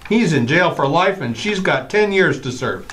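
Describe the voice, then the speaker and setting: A woman's voice: sits low, lively intonation, normal speed. A woman acting as an exasperated, scolding father, stern and flustered, in an old-time radio comedy. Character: Daddy, exasperated scolding father of a little girl.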